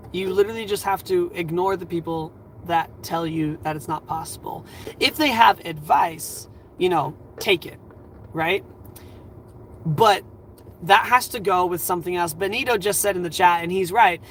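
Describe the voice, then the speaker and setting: A man in his twenties hosting a livestream, giving earnest advice in a rambling, halting way full of fillers, his voice a little scratchy and tired. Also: sits high, fairly bright tone. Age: 20s. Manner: earnest, reassuring. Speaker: livestream host.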